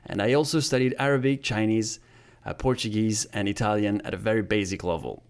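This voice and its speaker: A man from France, a podcast guest giving thoughtful, measured explanations. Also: fairly low pitch, fairly even intonation, clean tone. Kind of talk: podcast interview.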